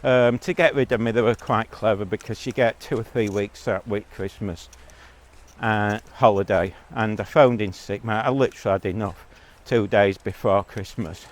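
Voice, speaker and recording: A man's voice: on the low side, steady tone, moderate pace. A man giving a blunt, rambling monologue as a vlogger, talking while out on a walk.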